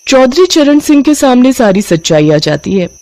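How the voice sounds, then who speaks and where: A woman narrating a cartoon story in a steady, scripted storytelling manner. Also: sits high, normal speed.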